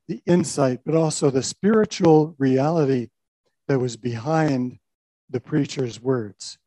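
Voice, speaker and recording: A man's voice: medium pitch, mellow, no echo, poor audio. An older man, a pastor telling a personal testimony in a reflective, storytelling way. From Canada. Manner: reflective storytelling with small restarts.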